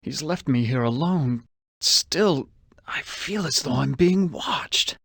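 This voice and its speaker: A man's voice: on the high side, animated, slow pace, gravelly, a bit bright, slightly rough, no room sound, clean studio sound, exaggerated voice. An actor playing a vain, suave, womanizing con man who muses aloud theatrically and suspiciously in a video game. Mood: wary, suspicious. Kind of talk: video game character monologue.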